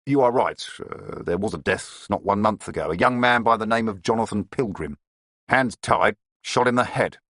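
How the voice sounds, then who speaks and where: A man's voice: lowish voice, moderate pace, fairly bright tone, no room sound, clean studio sound, pitched above the performer's own voice. A man voicing a blunt, matter-of-fact male police inspector in a storybook reading. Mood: brusque.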